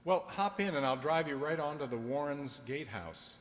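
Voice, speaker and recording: Kind young doctor, echoey hall. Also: male voice, a little high, lively intonation, moderate pace, a bit bright. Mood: warm, hesitant. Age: young adult. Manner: hesitant, tender. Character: kind, earnest young country doctor, shyly fond. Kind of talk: radio drama acting. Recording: echoey hall, faint background noise.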